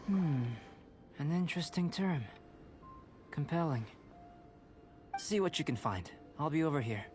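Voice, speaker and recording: A man voicing a calm, analytical young alchemist in a video game, speaking in a measured, reserved, scholarly way. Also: on the high side, music in the background. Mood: curious, composed. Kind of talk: video game character voice acting.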